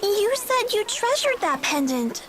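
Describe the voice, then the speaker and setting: A woman's voice: extremely high pitch, very animated, a little slow, fairly bright tone, full character voice. A woman voicing a perky, curious teenage girl with a playful, teasing manner in a video game. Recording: noisy game audio capture.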